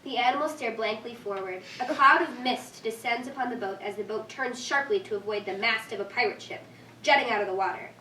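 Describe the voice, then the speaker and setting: A woman's voice: a little high, fairly quick, pushed and bright, distant mic. A young woman reading stage directions as scripted narration at a staged reading. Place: live staged reading in a room. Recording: echoey room from afar, faint noise.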